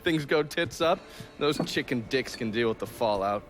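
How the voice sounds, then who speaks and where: Actor as a cocky, sardonic American operative. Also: male voice, medium pitch, lively intonation, moderate pace, faint music behind, natural voice. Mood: cocky, amused. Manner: sardonic, breezy, irreverent. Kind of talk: video game cutscene acting.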